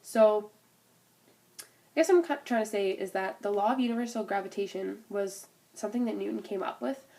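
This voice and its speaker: A young woman speaks with a stuffed-up nose from a cold, her voice sitting at an ordinary middle pitch. She explains a physics idea in a loose, informal way, with fillers and restarts, like a student's homework recording. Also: creaky, dark tone. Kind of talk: informal spoken class presentation.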